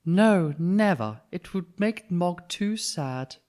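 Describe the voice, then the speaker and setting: A man voicing an excitable, fussy mother in a children's storybook, speaking emphatically. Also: high-pitched, slow pace, pitched above the performer's own voice. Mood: emphatic. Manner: emphatic, exclaiming.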